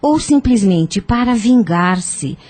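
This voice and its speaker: A woman with a Brazilian accent playing a calm, wise spiritist counsellor in an audio drama, speaking in a measured, didactic way. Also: medium pitch. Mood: calm, instructive.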